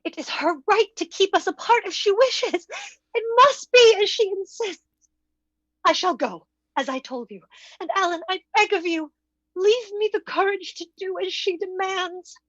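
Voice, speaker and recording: A woman's voice: very high voice, normal speed, a bit bright, no room sound. A woman playing a dainty, dutiful, distressed young woman in an old-time radio drama, pleading earnestly and melodramatically, on a slightly muffled recording.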